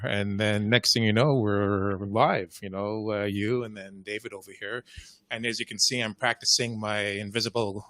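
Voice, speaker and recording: A man's voice: lowish voice, sharp tone, no room sound. A man co-hosting a live podcast, easygoing and agreeable. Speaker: podcast co-host. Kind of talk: casual live podcast chat.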